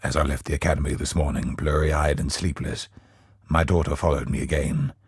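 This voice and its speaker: An actor playing a brooding, tormented scholar of godlike power in a video game, speaking gravely and theatrically in measured phrases. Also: male voice, extremely low pitch, fairly even intonation, no echo, clean studio sound, full character voice. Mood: earnest, intrigued. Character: brooding male scholar turned godlike, tormented cosmic villain. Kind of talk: dramatic scripted video game monologue.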